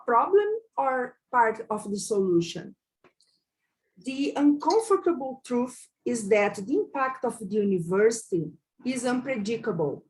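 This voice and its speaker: A woman from Brazil with a Brazilian Portuguese accent, whose first language is Portuguese, giving a conference lecture in English, slow and careful with many hesitations and repeated words. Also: on the high side, a little mellow, low-quality recording. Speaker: university lecturer.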